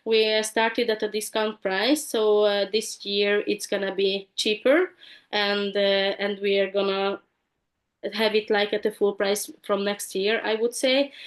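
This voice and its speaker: A woman's voice: mid-range voice, little pitch movement, unhurried. Middle-aged woman therapist on a video call, lots of uhs, hesitant, desk mic at home. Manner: hesitant, with many fillers. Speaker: therapist guest. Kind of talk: casual interview chat. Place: home room with bookshelves, indoors. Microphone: video call with desk microphone.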